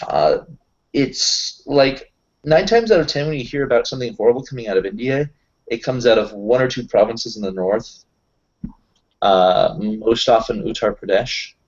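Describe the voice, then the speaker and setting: A man's voice: medium pitch, moderate pace. Muffled man explaining things in casual chat. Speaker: tabletop roleplaying player. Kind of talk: casual in-character group chat.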